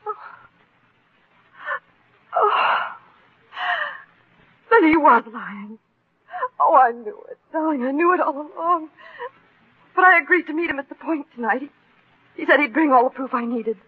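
An actress playing an anxious, trusting wife in a 1940s radio melodrama, hesitant, breathless and emotional. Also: female voice, sits high, lively intonation, a little slow, pauses often. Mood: relieved, tender. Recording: tinny, narrow old radio broadcast.

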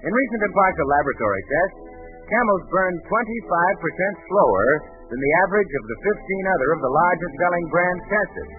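A man's voice: sits high, lively intonation, brisk, phone-quality sound. A man working as announcer, reading 1940s radio ads and show introductions. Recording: thin old radio recording with faint music.

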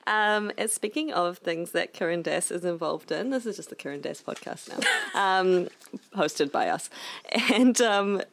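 A woman co-hosting a book podcast. Her voice is croaky and gravelly and sits a little low. She rambles with lots of fillers. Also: moderate pace, a little hiss or hum. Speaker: podcast co-host. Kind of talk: casual chat.

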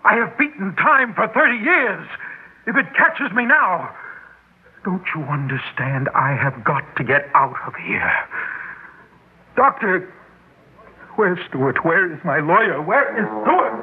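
A man's voice: sits high, lively intonation, unhurried, long pauses, husky, heavily acted. An actor playing a desperate, demanding wealthy businessman in an old-time radio drama. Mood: frantic. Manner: demanding, pleading, frantic, urgent. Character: desperate, imperious wealthy businessman of sixty-two who looks young. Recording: noisy, narrow-band classic radio recording.

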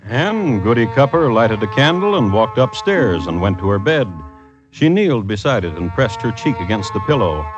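Casting: male radio narrator, low and wry, expressive storytelling over music, vintage sound. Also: dull audio. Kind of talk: scripted storytelling narration, old-time radio.

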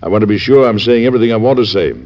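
Dignified, warm radio-drama president played by a male actor, very low measured voice, dull vintage sound. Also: lightly acted. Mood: affectionate. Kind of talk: 1940s radio drama acting and narration. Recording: muffled old radio recording.

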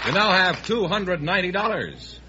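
Man, radio quiz announcer, scripted delivery, animated pitch, muffled vintage recording. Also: moderate pace, over loud music.

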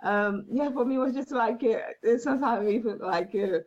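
A woman whose first language is Portuguese, a guest talking earnestly about faith with halting phrasing and many fillers. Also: fairly high pitch, a little mellow, highs cut off. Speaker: podcast guest. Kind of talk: faith-based interview talk.

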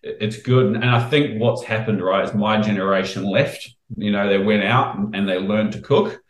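Middle-aged Kiwi chef, casual and rambling, slightly low pitch, roomy echo on the mic. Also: male voice, moderate pace. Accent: New Zealand. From New Zealand. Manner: relaxed, rambling, frequent fillers and restarts. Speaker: chef guest. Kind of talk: casual interview chat.

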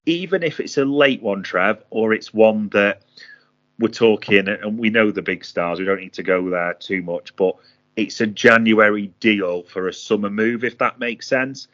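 Man, deep voice, guarded and hedging, football podcast co-host, muffled audio. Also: a little slow, a bit bright. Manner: guarded, hedging his predictions. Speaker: podcast co-host, transfer pundit. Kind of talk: casual football podcast chat.

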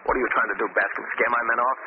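A man's voice: mid-range voice, steady tone, racing, narrow, tinny audio, natural voice. An actor playing an embattled, hot-tempered male construction boss, curt and agitated, in old-time radio drama style. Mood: angry, tense. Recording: noisy, band-limited old radio recording.

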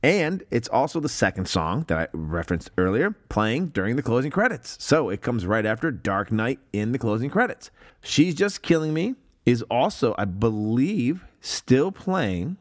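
A man's voice: mid-range voice, sing-song, moderate pace, no echo, clean studio sound. A man in his thirties hosting a podcast, casual and joking, swearing now and then. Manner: joking, swears, laughs often. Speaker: podcast host.

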